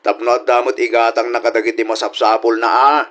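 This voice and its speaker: A man's voice: medium pitch, level tone, brisk, fairly bright tone, dull audio, lightly acted. A man with an Ilocano accent playing a good-natured, patient husband and dutiful son in an audio comedy drama.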